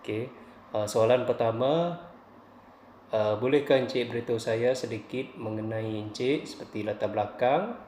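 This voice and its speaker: A man's voice: medium pitch, normal speed, a little mellow, slight background noise. A man, a student conducting a formal interview, polite and hesitant with many fillers. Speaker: interviewer, distance-learning student. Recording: roomy, distant mic, faint ambience.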